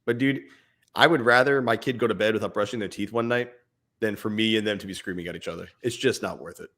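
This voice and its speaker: Male co-host, low voice, casual and animated, laughs easily. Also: fast. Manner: animated, acts out little scenes with his kid, laughs often. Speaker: podcast co-host. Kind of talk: casual parenting advice chat.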